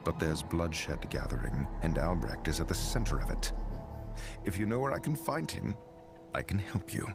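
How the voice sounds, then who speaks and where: A man playing an earnest, steady video game adventurer who talks in a calm, measured, firm way. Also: rumbling low, gravelly, exaggerated voice. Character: earnest, steady male adventurer seeking a one-eyed man. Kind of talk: video game dialogue acting. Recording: loud game music behind.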